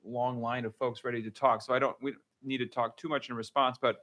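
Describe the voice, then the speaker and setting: A man, a legislator answering constituents at a town hall in a measured, hedging way. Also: mid-range voice, level tone, a bit bright. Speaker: state legislator. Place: public meeting room.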